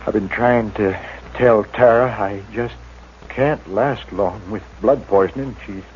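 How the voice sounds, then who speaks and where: An actor playing a feverish, wounded middle-aged homesteader in a 1950s radio drama, weary, halting and resigned. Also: male voice, fairly low pitch, a little mellow, lightly acted. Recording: dull 1950s radio broadcast, faint hiss.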